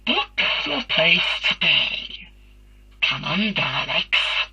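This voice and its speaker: A performer voicing a weary, soothing Dalek announcer in a parody spa advert, speaking in a slow, drawn-out, hushed way. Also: medium pitch, big pitch swings, husky, highs cut off, faint music behind, heavily acted.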